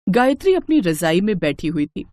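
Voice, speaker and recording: A woman's voice: lowish voice, very animated, moderate pace, very noisy. A woman narrator reading a scripted cartoon family story.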